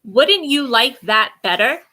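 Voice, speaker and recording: An exasperated schoolgirl played by a woman reading a storybook, high and bright. She is the big sister, protesting and pleading in emphatic, drawn-out phrases. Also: lively intonation, heavily acted. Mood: coaxing. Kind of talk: children's storybook read aloud.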